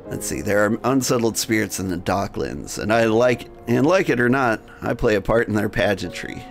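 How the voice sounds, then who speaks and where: A man with a fairly low, distinctly creaky voice hosts a game livestream over light music. He moves between reading out game text and casual, rambling asides with a joking streak. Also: expressive, normal speed.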